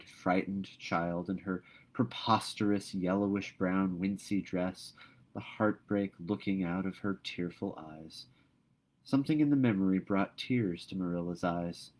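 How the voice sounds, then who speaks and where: A man reading a classic storybook aloud as narrator, in an expressive scripted style. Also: low voice, a little slow, low-quality recording. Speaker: audiobook reader.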